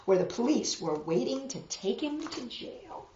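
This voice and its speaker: A woman reading a picture book aloud to children, expressive and dramatic, pausing for asides to show the pictures. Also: on the high side, a little hiss or hum. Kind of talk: animated children's story reading with asides to viewers. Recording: roomy, dull lo-fi web video.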